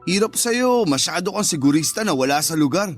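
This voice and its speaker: An actor playing a firm but considerate older brother in a radio drama. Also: male voice, high voice, expressive, brisk, faint music behind. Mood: stern, scolding. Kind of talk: Tagalog radio drama acting.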